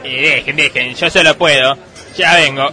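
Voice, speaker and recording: An actor with an Argentine accent playing a hapless, embarrassed football coach in a comic radio sketch. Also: male voice, a little high, moderate pace, pushed and bright, a faint crowd.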